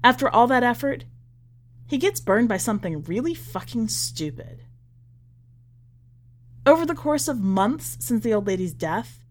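A woman narrating literary fiction from a script, with measured, deliberate phrasing that falls away at the ends of lines. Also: medium pitch, a little hiss or hum. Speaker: podcast editor reading a short story aloud.

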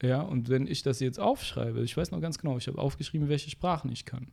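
A young man in casual conversation, telling stories in an animated way with slangy fillers, getting louder when he is indignant. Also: medium pitch, brisk, gravelly. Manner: animated storytelling, rising loud when indignant. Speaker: guest.

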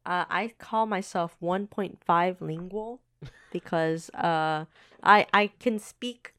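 American woman co-host, lower voice, relaxed and chatty, lots of "like". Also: takes it slowly, smooth. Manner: easygoing, laughs often, filler-heavy. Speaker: podcast co-host. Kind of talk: casual chat.

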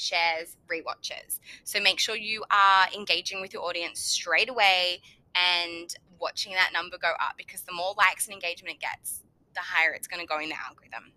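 Bright, slightly hoarse woman podcaster. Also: lowish voice, rough recording. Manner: chatty, upbeat advice-giving. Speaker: podcast host. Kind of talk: solo marketing podcast talk.

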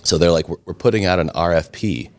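A man's voice: low voice, fast talker. A middle-aged man, talking about his past in a chatty, self-deprecating way during an interview. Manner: chatty, self-deprecating humor, frequent restarts. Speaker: interview guest, restaurant and brewery owner. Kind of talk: casual storytelling interview.

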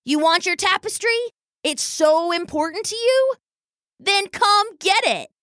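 Woman voicing a high, cheeky little weasel boy. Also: sing-song, slow pace, fairly bright tone, no echo, studio quality, exaggerated voice. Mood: taunting. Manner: teasing, coaxing. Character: small, cheeky, earnest young weasel brother.

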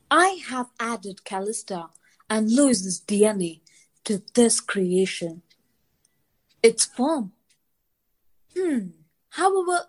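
A woman playing a cool, methodical female scientist in an audio drama, musing in a measured, clinical way. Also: medium pitch, poor audio.

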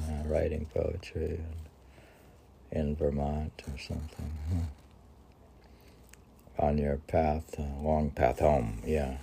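Male poetry reader, dark mellow tone, very slow pace, musing and conversational between recitation and offhand remarks. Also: very deep voice, vocal fry, husky. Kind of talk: poetry reading with casual rambling asides.

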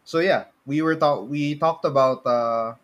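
A man co-hosting a podcast in casual chat, often halting and repeating his phrases as he restarts. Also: on the high side, lo-fi sound. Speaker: podcast co-host. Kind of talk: casual chat about video game movies.

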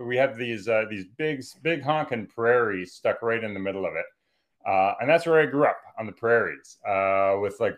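A man in his forties from western Canada, talking casually as a podcast guest, rambling and correcting himself with jokey asides. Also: fairly low pitch. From Calgary, Alberta, Canada. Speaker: guest, professor and writer. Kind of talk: casual conversational interview.

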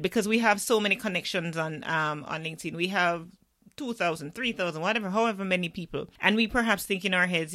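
Female podcast host, lower-register but crisp bright voice, measured questioning style. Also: no room sound, studio quality. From Jamaica. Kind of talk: interview questions.

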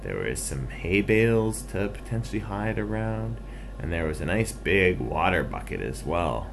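A man's voice: low-pitched, sing-song, moderate pace, gravelly, background noise. A man narrating an improvised bedtime story for children in simple, playful, repetitive phrases.

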